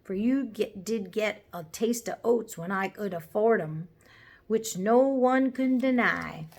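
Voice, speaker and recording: Woman putting on a lively Cockney cabman, earnest and chatty. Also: mid-range voice, slow pace, vocal fry. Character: chatty working-class London cabby, man, earnest and good-natured.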